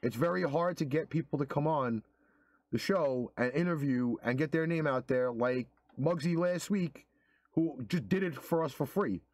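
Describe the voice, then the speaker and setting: A man's voice: a little high, moderate pace, darkish tone, no room sound. A man co-hosting a casual podcast, earnestly making a case with some swearing. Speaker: co-host.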